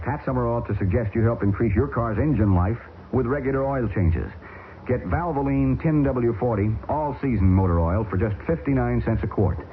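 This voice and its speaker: Man reading an old radio ad. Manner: brisk, friendly sales pitch. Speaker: commercial announcer. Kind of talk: scripted radio advertisement. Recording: tinny old radio broadcast with hiss.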